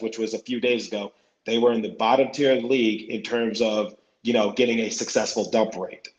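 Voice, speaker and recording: A man with a hoarse voice, a guest on a sports podcast, talking casually and often repeating and restarting his words. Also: medium pitch, echoey room, poor audio.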